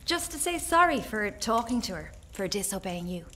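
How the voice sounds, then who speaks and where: An awkward young woman in a radio drama. Her medium-pitched voice is tentative and understated, eager but unsure. A little noise sits behind her. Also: very animated, normal speed, a bit bright. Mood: casual, unimpressed. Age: young adult. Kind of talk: naturalistic radio drama acting.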